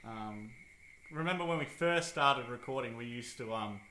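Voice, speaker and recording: A man's voice: mid-range voice, animated, moderate pace, pushed and bright. A man chats easily on a podcast, self-deprecating and full of ums. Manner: self-deprecating, easygoing, lots of "um". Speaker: podcast co-host. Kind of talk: casual chat. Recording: echoey room with light noise.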